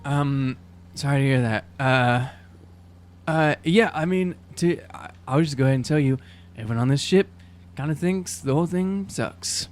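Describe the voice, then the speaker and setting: A man's voice: medium pitch, normal speed, a little hiss or hum. A man in casual improvised roleplay as a player on a tabletop game podcast, hesitant and dry.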